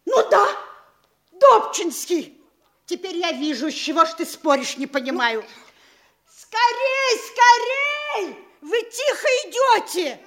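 A bossy, nosy provincial mother in an old stage comedy. Her high voice swings widely as she scolds and exclaims, yet she draws her words out slowly. She is theatrical, vain and impatient. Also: very animated, a bit bright, full character voice. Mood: impatient, scolding.

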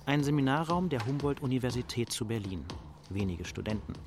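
A man's voice: mid-range voice, animated, a little fast, music in the background, lightly acted. A man playing a sober, detached narrator, reading scripted present-tense radio drama narration.

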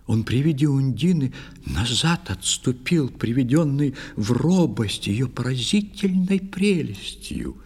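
A man's voice: medium pitch, lively intonation, measured pace, darkish tone, breathy edge. A man giving suspenseful scripted verse narration in an audio play.

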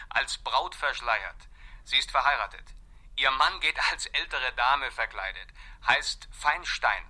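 An actor playing a dutiful police assistant who reports briskly and deferentially in an old radio drama. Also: male voice, mid-range voice, animated, pushed and bright, heavily acted. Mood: informative, amused. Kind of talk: 1960s German radio drama acting.

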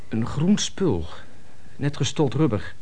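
A man's voice: medium pitch, big pitch swings, slow, darkish tone. A man playing a naive son in a radio drama, telling things matter-of-factly in short simple sentences. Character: family's son Willem, plain-spoken, naive, recounting oddities.

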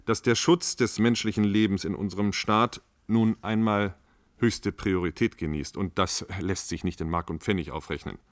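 Male, lowish pitch, dry close sound; an actor as a formal, reassuring government official in a radio drama panel. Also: steady tone, no echo, natural voice. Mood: measured, principled. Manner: formal, deliberate, official phrasing. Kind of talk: German radio play staged as a panel discussion.

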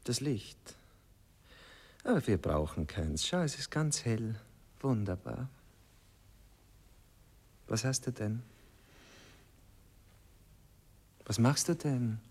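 An actor playing a dreamy, self-absorbed poet in a radio play, with a Viennese accent and a sentimental, often hushed delivery. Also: male voice, mid-range voice, lively intonation, slow pace, pauses often, slightly rough, exaggerated voice. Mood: puzzled, placating. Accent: Austrian, Viennese. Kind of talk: theatrical radio play acting.

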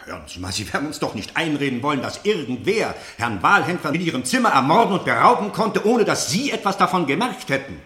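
An actor playing a stern, sceptical interrogator in a radio play, formal and insistent, with deliberate, pointed questioning. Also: male voice, a little high, very animated, a bit bright, roomy sound. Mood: pressing, accusing. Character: stern, probing male interrogator, sceptical and relentless. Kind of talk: German radio play acting, interrogation drama.

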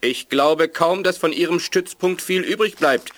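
A terse male informant in an eighties German radio drama. His voice sits fairly high for a man, and he delivers his warnings with urgency. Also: unhurried, fairly bright tone. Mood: urgent. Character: terse secret ally giving urgent warnings. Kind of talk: 1980s German radio play acting.

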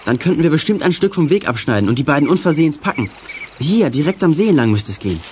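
A confident teenage boy in a children's radio adventure. He talks quickly in a mid-pitched voice, with faint outdoor noise behind him. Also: sing-song. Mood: keen. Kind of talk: German children's radio play acting.

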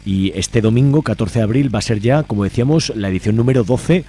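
Fast-talking man with an Aragonese Spanish accent. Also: mid-range voice, warm tone, a little hiss or hum. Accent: Spain, Aragonese. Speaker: radio show host interviewing a guest. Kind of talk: casual community radio interview.

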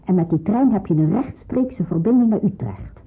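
An actress in a Dutch radio drama playing a caring, devout middle-aged pastor's wife, practical and reassuring, with a husky voice and slight hesitations. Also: female voice, mid-range voice, expressive, moderate pace. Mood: briskly explaining. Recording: muffled, phone-like radio play recording.

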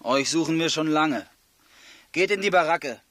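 An actor playing a bullying camp work assigner in a German radio play, barking curt commands. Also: male voice, on the high side, normal speed, close to an everyday voice. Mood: curt, commanding. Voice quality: hoarse when shouting.

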